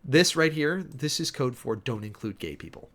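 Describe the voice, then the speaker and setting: A man's voice: medium pitch, lively intonation, moderate pace, fairly bright tone. A man giving a dramatic, emphatic reading of an official document, breaking off often for sardonic asides and commentary as host. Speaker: host, preacher.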